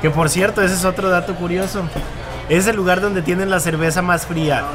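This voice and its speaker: A man's voice: sits high, fairly even intonation, fairly quick. A man in his thirties with a Mexican accent, chatting casually to camera as a vlog co-host. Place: busy restaurant. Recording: loud music and restaurant crowd noise.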